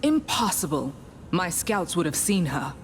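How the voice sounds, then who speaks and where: Slow-spoken stern rebel commander woman. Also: on the low side, sing-song. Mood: skeptical. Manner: deliberate, authoritative. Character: stern commanding female rebel leader, proud and resolute. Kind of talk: fantasy game voice acting. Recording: loud music behind.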